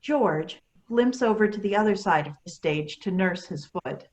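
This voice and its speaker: Woman narrating stage directions, medium pitch. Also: expressive, normal speed, darkish tone. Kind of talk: scripted narration at a staged play reading.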